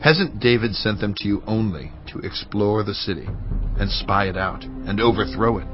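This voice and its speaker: An actor playing a suspicious, insinuating ancient military adviser in a dramatized audio Bible. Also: male voice, on the low side, normal speed, highs cut off, lightly acted. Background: background music.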